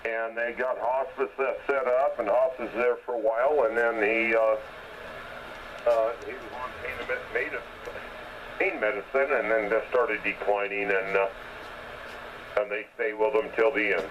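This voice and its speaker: A man chatting casually over single side band shortwave radio, halting and repeating words as he goes. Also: mid-range voice, fairly even intonation. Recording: noisy, muffled shortwave radio transmission.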